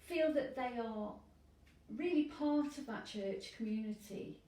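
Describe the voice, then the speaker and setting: A woman priest who lives with hearing loss, speaking earnestly and in measured phrases in an interview. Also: fairly high pitch, dark tone, roomy sound, recorded from a distance.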